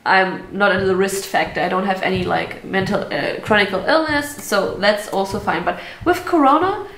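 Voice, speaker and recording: Young woman vlogger, mid-pitched, chatty and unfiltered, recorded in a roomy space. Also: normal speed, fairly bright tone, a little hiss or hum. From Germany. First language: German. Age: 20s. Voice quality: chatty, candid, laughs often, swears casually. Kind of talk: casual chat to camera. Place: at home, indoor room. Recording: roomy echo.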